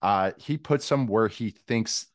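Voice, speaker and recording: Deep-voiced man riffing on a podcast. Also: slow pace, dry recording, studio quality. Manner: joking, rambling with many fillers. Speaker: guest football analyst. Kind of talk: casual sports podcast chat.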